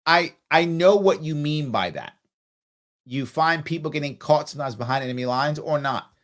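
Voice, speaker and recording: A man's voice: on the high side, lively intonation, normal speed, a bit bright. A middle-aged man from the South Bronx, hosting a live ask-me-anything stream, reading viewer comments aloud and answering offhand with fillers. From New York City, South Bronx. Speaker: livestream host answering viewer questions.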